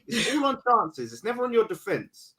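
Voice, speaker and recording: A man's voice: sits high, sing-song, normal speed, a crowd in the background. A man on a football fan podcast, questioning and challenging another guest's argument. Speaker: fan panelist. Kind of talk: heated football fan debate.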